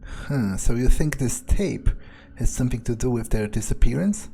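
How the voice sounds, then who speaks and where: A man's voice: medium pitch, animated, normal speed, creaky, dark tone. A man voicing a cynical, self-serving vampire newcomer in an in-character game playthrough, musing aloud in a dryly sardonic way. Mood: skeptical. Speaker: gameplay video creator. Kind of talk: in-character game roleplay narration. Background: music.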